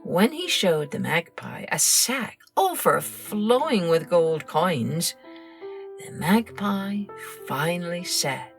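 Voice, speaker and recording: A woman narrating a children's story in an expressive, scripted storytelling style, like a podcast host. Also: fairly low pitch, slow, soft background music.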